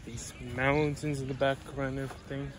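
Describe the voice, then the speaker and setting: A man's voice: medium pitch, level tone, moderate pace. A young man chatting casually as a travel vlogger, thinking aloud about his route while outdoors. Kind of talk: casual travel vlog narration. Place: outdoors by a town and lake. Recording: loud outdoor noise, traffic and wind.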